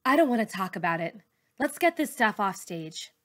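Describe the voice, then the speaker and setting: A proud and guarded female teacher with exacting standards, played by a woman reader. Her bright voice is firm and earnest, with a defensive edge, in storybook dialogue. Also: medium pitch, big pitch swings, normal speed. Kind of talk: children's novel read-aloud dialogue.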